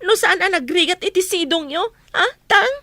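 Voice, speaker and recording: An actress playing a burdened, reproachful eldest sister in a radio drama. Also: female voice, very high-pitched, lively intonation, moderate pace, bright, forceful tone, dry recording, heavily acted. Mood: scolding.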